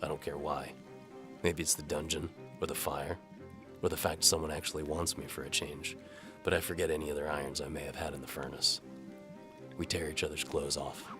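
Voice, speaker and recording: A man's voice: a bass voice, little pitch movement, fairly quick, over music. A man playing a sardonic, world-weary bow for hire, narrating his own story in noir audio drama style. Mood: dry, wry.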